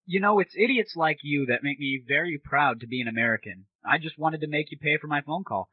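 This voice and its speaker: A man's voice: on the high side, expressive, fairly quick, slightly rough, no room sound. A man hosting a comedy audio show, talking casually and crudely in a sarcastic, irreverent manner. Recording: muffled, noisy lo-fi audio.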